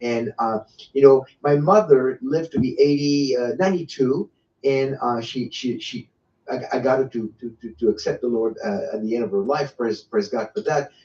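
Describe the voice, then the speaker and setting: A man's voice: mid-range voice, a little mellow. French-accented man in his sixties, faltering. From France. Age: 60s. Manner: halting, with many repeated words and restarts. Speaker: guest. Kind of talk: personal testimony in an interview. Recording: echoey, distant, dull lo-fi sound.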